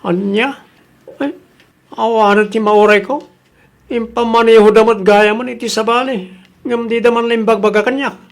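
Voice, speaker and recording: An earnest jeepney driver acted by a man in an Ilocano radio drama. The voice has a gravelly creak and an Ilocano accent, and sounds worried and respectful, like someone answering to a boss. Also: sits high, steady tone, normal speed, pauses often. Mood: worried, puzzled.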